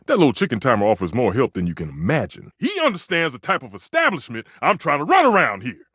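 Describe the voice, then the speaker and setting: An actor playing a proud, temperamental, food-obsessed stove chef in a video game, with pompous, flowery delivery and exasperated outbursts. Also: male voice, medium pitch, huge pitch swings, quick pace, a bit bright, breathy edge, no room sound, studio quality, full character voice. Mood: haughty, irritated. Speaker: voice actor in a dating simulator game. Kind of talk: theatrical video game character acting.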